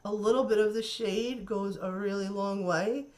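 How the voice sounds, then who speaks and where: A woman's voice: medium pitch, fairly even intonation, moderate pace, darkish tone. A woman chatting casually through a makeup tutorial, thinking aloud and admiring the colors, her voice a little nasal from a cold. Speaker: beauty vlogger.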